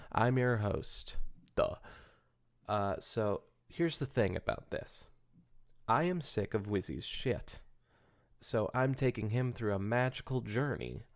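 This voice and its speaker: A man hosting a casual comedy podcast, joking and rambling with some swearing. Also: lowish voice. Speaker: podcast host.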